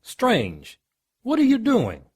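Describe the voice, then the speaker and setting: A man's voice: extremely high pitch, wildly swooping pitch, slow, a little mellow, breathy edge, full character voice. A man playing an authoritative police detective in an audio drama, challenging and dismissive in his delivery.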